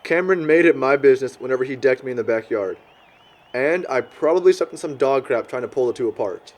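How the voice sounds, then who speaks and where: A man's voice: mid-range voice, animated, fast, natural voice. An actor playing a crude, judgmental young man in an amateur audio drama, blunt and scornful. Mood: indignant.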